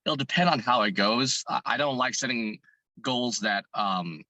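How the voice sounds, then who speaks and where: Hoarse male interview guest, bright-toned, earnest, thinks out loud. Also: medium pitch, a little slow, no room sound, low-quality recording. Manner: earnest, thinking aloud with many restarts. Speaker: fitness content creator and business owner. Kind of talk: interview guest answering questions.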